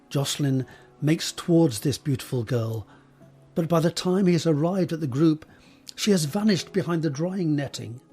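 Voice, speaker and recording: A man narrating a scripted drama, setting each scene in a measured, descriptive read with a husky voice. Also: fairly high pitch, mellow.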